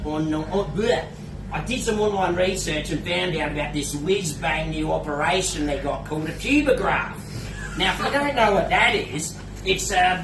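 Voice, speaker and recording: A man with an Australian accent doing live stand-up comedy, crude and laughing at his own jokes. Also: fairly high pitch. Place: comedy club stage. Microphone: away from the mic, unamplified. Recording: echoey room, distant, noisy.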